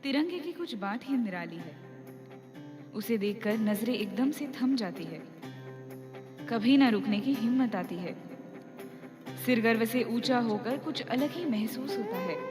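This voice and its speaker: Fairly high female reciter over loud music. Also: animated, measured pace, pauses often. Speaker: narrator of a stage dramatisation. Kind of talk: scripted poetic recitation.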